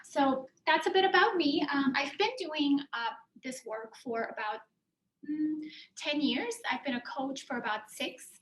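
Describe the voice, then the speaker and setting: A woman with a mixed Bosnian-German accent, a coach giving a calm, reflective workshop presentation in a classroom. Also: sits high, a little slow. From Bosnia, raised in Germany. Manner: calm, reflective, many fillers. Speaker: career and life coach presenting. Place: classroom in a coworking space. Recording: echoey room.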